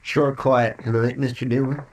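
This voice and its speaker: A man's voice: medium pitch, steady tone, moderate pace, darkish tone, close to an everyday voice. A man playing an anxious, loyal sidekick in an old-time radio western, with a rural American accent and a folksy, fretful, deferential manner. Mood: nervous, pleading. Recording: noisy vintage radio recording.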